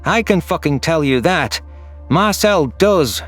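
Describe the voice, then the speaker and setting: A man's voice: a little high, animated, takes it slowly, a bit bright, faint music behind. A man narrating a scripted science fiction story in the first person as an anguished, guilt-ridden alien. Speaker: narrator of a sci-fi audio story.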